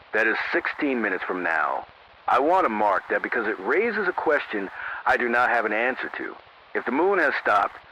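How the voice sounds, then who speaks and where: A man playing a sober local AM radio announcer in an analog horror emergency broadcast, speaking in a grave, measured, formal way through a radio filter. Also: fairly low pitch, sharp tone. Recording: narrow-band AM radio with static noise.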